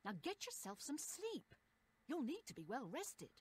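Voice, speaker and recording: A woman's voice: high-pitched, big pitch swings, moderate pace. An actress playing a stern, authoritative female battle mage giving instructions in a fantasy video game. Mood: stern, instructing.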